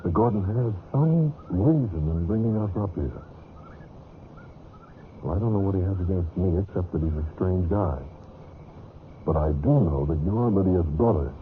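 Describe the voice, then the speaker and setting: Uneasy, lively-voiced American man. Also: deep voice, normal speed, pauses often. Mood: guarded, confiding. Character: uneasy, wary man among distrusted companions. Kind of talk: 1940s-50s radio drama acting. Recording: tinny, noisy old radio recording.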